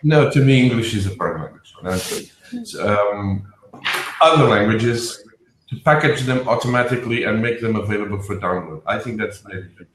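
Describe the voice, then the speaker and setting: A man with a Portuguese accent speaks in a fairly low voice. He chats casually, circling back and restarting his sentences. The room gives his voice a clear echo. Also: normal speed, slight background noise. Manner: rambling with frequent restarts. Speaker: panel guest. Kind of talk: casual panel chat. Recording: roomy echo.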